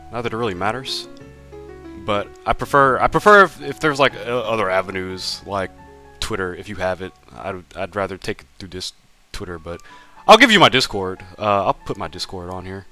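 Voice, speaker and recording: A man's voice: lowish voice, bright, forceful tone. A young man drawing live on stream, chatting casually with viewers, stammering and repeating words, laughing often.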